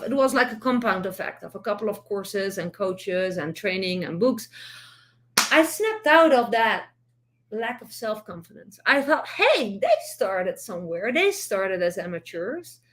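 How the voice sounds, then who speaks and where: A middle-aged Dutch woman with a Dutch accent, speaking English as a second language, giving earnest, encouraging vlog advice as an online business coach, with frequent restarts. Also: a little high. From Netherlands. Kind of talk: direct-to-camera vlog advice.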